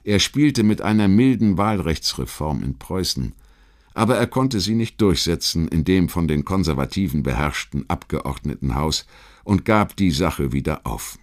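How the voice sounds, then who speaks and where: A man with a deep, low voice narrates a scripted history documentary in a standard German accent. His reading is measured and rhetorical, placing deliberate weight on key words in the manner of a literary audiobook. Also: expressive.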